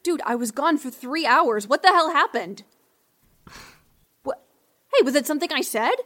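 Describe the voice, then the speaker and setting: A woman's voice: high-pitched, expressive, moderate pace, fairly bright tone. A woman playing a brash but concerned young woman in an audio drama, with a casual, blurting manner. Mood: casual, surprised.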